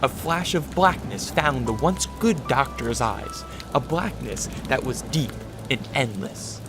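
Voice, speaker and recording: A man's voice: medium pitch, animated, unhurried. A man giving dramatic scripted narration for a radio adventure story. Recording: music behind the voice.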